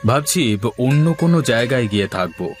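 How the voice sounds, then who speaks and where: A man voicing a tired village husband and gentle father in a cartoon, speaking in a homely way. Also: medium pitch, expressive, quick pace, close to an everyday voice. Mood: worried. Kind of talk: cartoon voice acting. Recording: music behind the voice.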